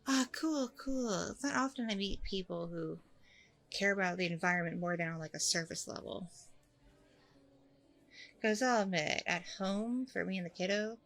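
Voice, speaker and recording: A woman playing a chatty, candid, self-deprecating single mom on a blind date in a casual audio roleplay, rambling informally with a creaky voice. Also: mid-range voice, moderate pace, fairly bright tone. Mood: friendly, chatty. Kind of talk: ASMR audio roleplay, casual conversational acting.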